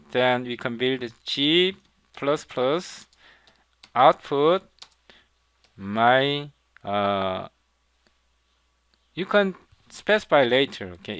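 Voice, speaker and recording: A man with a Korean accent, a non-native English speaker, teaching a coding tutorial in halting speech, repeating words and checking in with "okay?". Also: mid-range voice, animated, fairly bright tone, clean tone, no room sound. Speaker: programming instructor. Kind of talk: screen-recorded coding tutorial.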